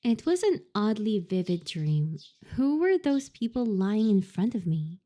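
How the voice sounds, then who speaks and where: A woman's voice: medium pitch, very animated, a little slow, mellow. A young woman streamer reading a visual novel aloud and reacting expressively with laughs and comments.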